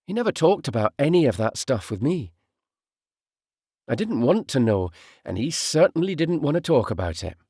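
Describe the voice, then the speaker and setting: A man voicing a plain-spoken, gentle mother in an audiobook story. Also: mid-range voice, very animated, moderate pace, breathy edge, dry recording, studio quality, pitched above the performer's own voice. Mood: apologetic, dismissive. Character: narrator's mother, a sighing, softly sympathetic woman.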